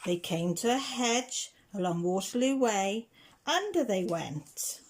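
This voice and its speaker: A woman reading a children's rhyming picture book aloud with measured, deliberate phrasing. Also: medium pitch, sing-song, slight background noise. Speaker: reader of children's picture books. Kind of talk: storybook read-aloud.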